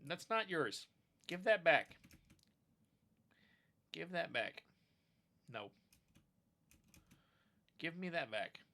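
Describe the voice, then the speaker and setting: A man's voice: on the high side, sing-song, drawn-out words, creaky, pauses often, sharp tone. A man commenting casually on his game playthrough, sounding sinusy from allergies, rambling and swearing mildly. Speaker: gaming video host. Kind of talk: casual let's-play commentary.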